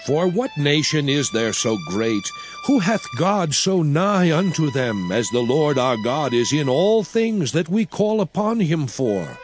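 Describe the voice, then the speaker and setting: Commanding biblical lawgiver, higher-pitched male voice, sweeping intonation, solemn oratory, dramatized scripture. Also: very animated. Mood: solemn, exhorting. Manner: solemn, oratorical, measured, emphatic. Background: music.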